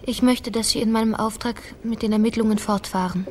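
A woman's voice: fairly high pitch, fairly even intonation, a little fast, a little mellow, slight background noise, close to an everyday voice. An actress playing a guarded, resolute politician's daughter in a German radio crime drama, reserved and controlled in her delivery.

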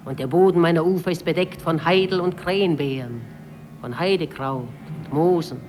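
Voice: mid-range voice, moderate pace, a little mellow, soft background music, lightly acted. An actor voicing a proud, wild river spirit born of glacier ice, in a poetic monologue from a 1950s German radio play. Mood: swelling, forceful. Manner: lyrical, proud, declaimed. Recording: old radio drama with faint noise.

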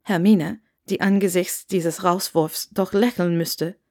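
A woman's voice: lowish voice, steady tone, takes it slowly, darkish tone. A woman reading a scripted story aloud as an audiobook narrator.

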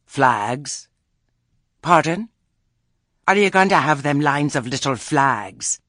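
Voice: medium pitch, lively intonation, slow pace, a bit bright, dry recording, pitched above the performer's own voice. A stern old country witch woman from a storybook, as acted out by an audiobook narrator. She speaks tersely and sardonically, grudging every word and expecting to be obeyed. The sound is dry and close. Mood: sardonic.

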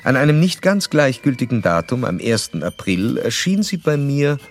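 An actor playing a formal, probing physician in a radio drama, speaking in measured literary phrases. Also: male voice, medium pitch, sing-song. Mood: formal, recounting. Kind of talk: radio drama, narration and dialogue.

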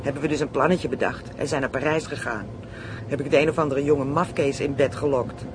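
A man acting in a radio play as a brash, greedy criminal, slangy, boastful and excitable. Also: brisk, close to an everyday voice. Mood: gleeful, boastful. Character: brash, greedy, playful Amsterdam criminal plotting kidnappings. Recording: radio play with clear vehicle rumble.

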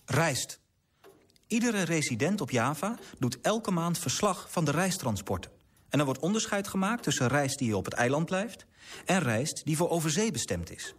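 Stern, earnest, animated, husky man. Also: a little high, moderate pace. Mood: indignant, persuasive. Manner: earnest, articulate, formal. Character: Stern, earnest, idealistic male clerk, politely persistent. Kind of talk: radio drama acting.

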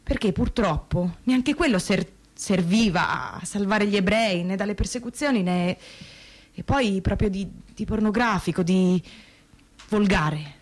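An actress plays a thoughtful woman giving dramatic testimony about family memory. She speaks haltingly and reflectively, searching for each word. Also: medium pitch, natural voice. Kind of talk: dramatic staged reading of testimony.

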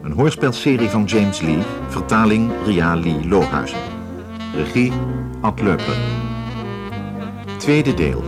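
A man's voice: deep voice, big pitch swings, takes it slowly, long pauses. A man announcing the credits of a radio drama series in a scripted style. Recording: loud plucked guitar music behind.

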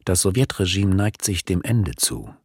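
An actor playing a grim reader of wartime reports in a radio docudrama, grave and husky. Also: male voice, sing-song, moderate pace, a little mellow, dry recording, clean studio sound, full character voice. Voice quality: deep, husky. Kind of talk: radio docudrama document reading.

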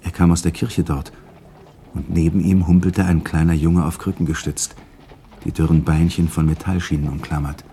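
Low-voiced man narrating a radio play. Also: little pitch movement, moderate pace, gravelly, warm tone, slight background noise. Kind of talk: scripted storytelling narration in a 1960s German radio play.

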